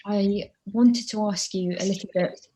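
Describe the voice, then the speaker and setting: A woman's voice: mid-range voice, steady tone, measured pace, dark tone, rough recording. A woman hosting an online conversation with a fellow poet over a video call, effusive and rambling in her questions. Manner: effusive, rambling, with fillers and restarts. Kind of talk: conversational interview.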